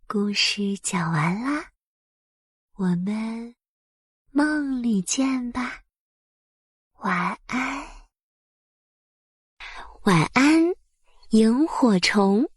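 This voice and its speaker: A woman narrating a bedtime story for small children in a soft, breathy voice, with gentle, soothing and careful phrasing. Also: on the high side, expressive, extremely slow, pauses often, no echo, studio quality.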